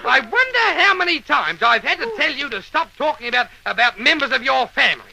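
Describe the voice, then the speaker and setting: Exasperated Australian schoolmaster, very high piercing voice, stammering and scolding, old-time radio comedy. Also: male voice, sing-song, a little fast, gravelly, pushed and bright, exaggerated voice, low-quality recording. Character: exasperated, blustering male schoolteacher bossing silly pupils.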